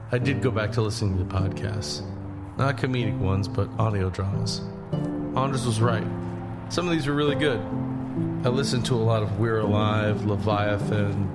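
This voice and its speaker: A man acting as an easygoing traveler telling his own story in a slightly low voice. It is first-person audio drama narration, matter-of-fact and a little weary, with loud music behind it. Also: close to an everyday voice. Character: easygoing weary man walking across the country. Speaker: narrator and lead character.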